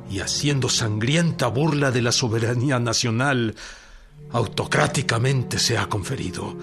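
An actor playing an indignant revolutionary leader with a Mexican accent, declaiming a manifesto in an emphatic radio drama style. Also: male voice, fairly high pitch. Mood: scornful, defiant. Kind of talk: dramatized proclamation in a radio docudrama. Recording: music behind the voice. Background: prominent music.

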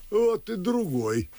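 An actor playing a blustering, fussy old gentleman and father in a verse radio drama, grumbling and exclaiming. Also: medium pitch, full character voice. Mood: gruff, hearty surprise. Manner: grumbling, exclamatory, swooping delivery. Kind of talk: Russian classical verse radio drama acting.

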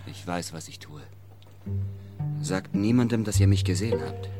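Radio drama: male actor as resolute young countryman, earnest, intense, low pitch, strong music bed. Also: moderate pace, close to an everyday voice. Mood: bitter, insistent. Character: determined, earnest young male peasant searching for his love. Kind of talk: German radio drama acting.

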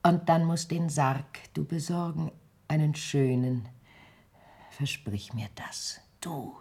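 A frail, husky old dying mother. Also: deep voice, mellow, full character voice. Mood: weary pleading. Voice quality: breathy, weak, whispery. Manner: slow, faltering, plaintive. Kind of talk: theatrical radio drama acting.

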